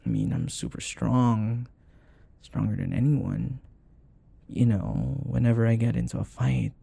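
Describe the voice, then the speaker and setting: Male actor as wealthy mature boyfriend for romantic roleplay: husky, mellow, softly coaxing, obsessively devoted. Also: lowish voice, no echo, exaggerated voice. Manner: soft, hesitant, coaxing murmur with sighs. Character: rich older possessive boyfriend, sweetly doting, obsessive, apologetic. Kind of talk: intimate romantic audio roleplay.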